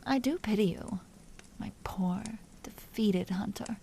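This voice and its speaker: A woman voicing a dominant, teasing vampire duchess in an intimate audio roleplay, often dropping into breathy whispers. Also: medium pitch, takes it slowly, warm tone, a little hiss or hum. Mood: pitying, seductive. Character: dominant female vampire duchess, seductive, teasing, coolly imperious. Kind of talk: scripted audio roleplay, intimate monologue to listener.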